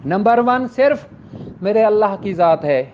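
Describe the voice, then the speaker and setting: An earnest male law lecturer with a strikingly high voice. He speaks warmly and directly to his listeners as sisters and brothers. The sound is a little dull, with a faint background hiss. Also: lively intonation, measured pace. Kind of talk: motivational lecture.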